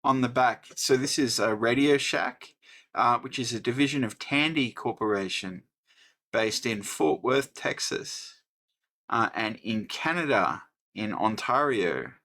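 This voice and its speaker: A middle-aged Australian man hosting a casual electronics book review, thinking aloud with many pauses and restarts. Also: medium pitch, expressive, sharp tone. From New South Wales, Australia. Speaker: host.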